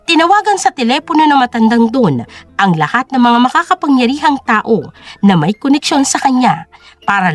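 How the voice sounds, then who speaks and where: High, expressive woman storyteller. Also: dry recording. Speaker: narrator. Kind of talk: dramatic scripted story narration. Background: music.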